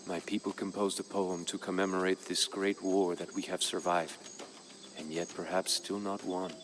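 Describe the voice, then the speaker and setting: A man playing a proud, somber Aztec emperor, telling of his people's war in grave, measured, formal game narration. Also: sits low, little pitch movement, fairly bright tone, slight background noise. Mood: mournful, weary. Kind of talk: solemn scripted narration in character. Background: music.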